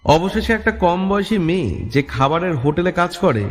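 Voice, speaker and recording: Male horror story narrator: slightly high voice, scripted dramatic storytelling, reverberant sound. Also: lively intonation, moderate pace, faint music behind. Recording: long reverb.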